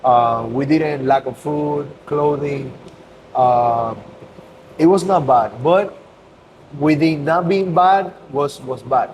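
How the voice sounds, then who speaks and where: A man's voice: a little high, slow. A young man, talking earnestly as a podcast guest in a loose, casual way and slipping into Spanish now and then. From Cuba. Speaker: guest, business owner. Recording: noisy ambience.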